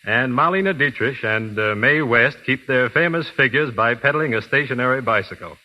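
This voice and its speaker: A man's voice: mid-range voice, animated, moderate pace, lo-fi sound. A man speaking earnestly and politely as a trainer guest in a scripted 1930s radio interview. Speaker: guest physical trainer and product endorser. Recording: vintage 1930s radio broadcast.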